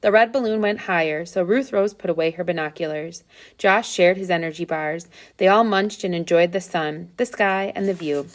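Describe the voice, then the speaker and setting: A woman's voice: fairly low pitch, moderate pace. A woman reading a children's adventure story aloud as scripted narration. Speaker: storybook reader.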